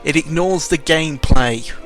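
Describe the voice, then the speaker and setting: A man's voice: a little high, moderate pace. A young man ranting casually about video games, exasperated and full of fillers. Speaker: gaming vlogger. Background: video game music.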